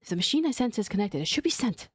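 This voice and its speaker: A woman's voice: mid-range voice, very animated, racing, husky, no echo, studio quality. A woman voicing an earnest, puzzled young woman who thinks aloud, reading dialogue from a mystery game. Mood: earnest, determined.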